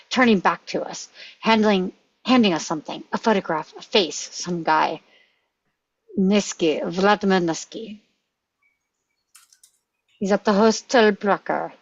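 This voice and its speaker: A woman's voice: medium pitch, unhurried, long pauses, dry recording, muffled recording. A woman reading screenplay stage directions aloud in clipped fragments. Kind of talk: script read-aloud.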